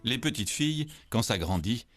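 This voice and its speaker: A man narrating a scripted children's story in an expressive, theatrical way. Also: mid-range voice, normal speed. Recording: music playing behind the voice.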